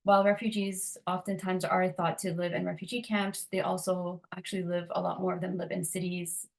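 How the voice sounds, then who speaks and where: A woman giving an academic presentation on educating refugee students, speaking in a measured, explanatory way. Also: fairly low pitch, little pitch movement, creaky.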